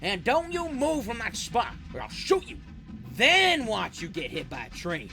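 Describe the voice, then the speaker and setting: A performer voicing a gruff, menacing hillbilly thug with a rustic, ungrammatical drawl in a comic fan dub. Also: mid-range voice, huge pitch swings, a little slow, music in the background.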